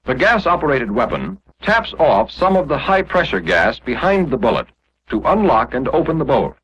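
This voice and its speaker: A dull, muffled vintage film soundtrack carries a male narrator from the 1940s. His voice is a little husky and moves with lively, emphatic pitch. He explains mechanics step by step, reading from a script like an instructor. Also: moderate pace. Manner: didactic, clearly explanatory, step by step.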